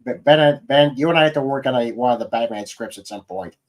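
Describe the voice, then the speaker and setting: A man's voice: medium pitch, quick pace. A man hosting a podcast and chatting casually with his guest as a fellow scriptwriter. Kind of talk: casual interview chat.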